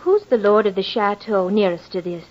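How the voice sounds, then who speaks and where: An actress playing a gentle, devoted young French noblewoman in an old radio drama, with courteous, formal phrasing. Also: medium pitch, normal speed, natural voice. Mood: puzzled. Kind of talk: 1940s radio drama acting. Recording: dull old radio recording with music.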